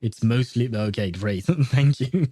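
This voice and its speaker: A man's voice: mid-range voice, animated, a little slow, warm tone, no echo. A man chatting casually on a game livestream, hesitant, with chuckling asides to his viewers. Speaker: livestream gamer reading chat and game dialogue aloud. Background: faint game music.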